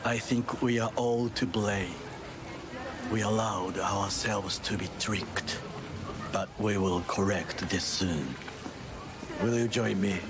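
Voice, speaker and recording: An actor playing a solemn, formal, loyal Japanese bodyguard of middle age in a video game, with a Japanese accent and measured, stiff phrasing. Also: male voice, fairly low pitch, steady tone, noisy background. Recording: music behind the voice.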